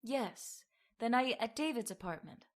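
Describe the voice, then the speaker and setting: Woman acting a high-voiced, plaintive young heroine. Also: lively intonation, normal speed, lightly acted. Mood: sorrowful, subdued. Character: frightened, grieving young woman in a horror tale. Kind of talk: old-time radio melodrama acting.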